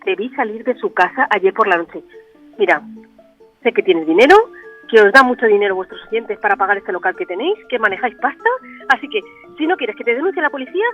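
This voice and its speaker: A woman's voice: mid-range voice, expressive, brisk, close to an everyday voice. A woman playing a threatening blackmailer in a comic radio sketch, insistent and menacing, demanding money. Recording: tinny phone-like voice over music.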